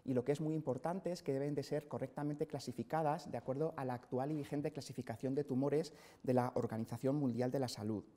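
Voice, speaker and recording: Middle-aged spanish doctor guy giving a lecture, calm and explaining, lots of "eh", big echoey hall. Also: mid-range voice. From Spain, Castilla-La Mancha. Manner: measured, explanatory, frequent "eh" fillers. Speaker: hematologist lecturer. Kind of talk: scientific seminar lecture. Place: hospital auditorium. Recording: long hall reverb from a lecture hall.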